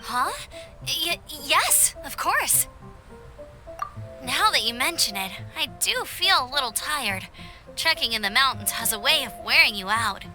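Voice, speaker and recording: A bubbly teenage girl scout from an anime game. She is friendly and eager, with a high, lively voice and music playing behind her. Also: unhurried. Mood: flustered, tired. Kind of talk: anime video game voice acting.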